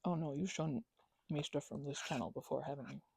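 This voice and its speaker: A woman's voice: low voice, vocal fry, dark tone, husky, full character voice. A woman playing a chatty, playful, bashful young housemate in an ASMR roleplay, rambling and stammering as if in casual conversation. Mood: affectionate, playful. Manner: rambling, halting, stammering, giggly asides.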